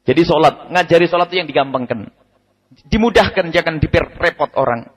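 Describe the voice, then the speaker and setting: A man giving a lively, emphatic religious study lecture, repeating his key points and chuckling now and then. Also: on the high side, fairly quick. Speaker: preacher. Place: study gathering hall. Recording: radio broadcast with long hall reverb.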